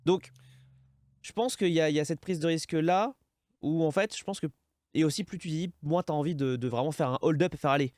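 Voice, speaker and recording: A man in his thirties with a French accent, talking in a loose, chatty way full of restarts. His voice sits a bit high, and the recording is dry and close with no room echo. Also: a little fast, darkish tone. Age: 30s. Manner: chatty, rambling, many restarts and "tu vois". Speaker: podcast host. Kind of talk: casual interview chat.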